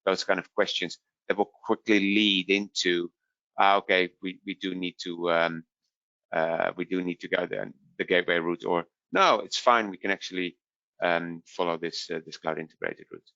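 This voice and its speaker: Dutch-accented man, low dull dry voice. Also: fairly even intonation, moderate pace, gravelly, sharp tone, dry recording. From Netherlands. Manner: hesitant, many fillers and restarts. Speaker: vendor product specialist. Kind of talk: webinar Q&A answer.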